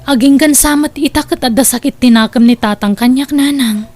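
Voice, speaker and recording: A woman's voice: sits high, fairly quick, darkish tone, soft background music. A woman playing a devoted, hardworking young elder sister with a Filipino accent, earnest and emotive in an Ilocano family radio drama. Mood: concerned.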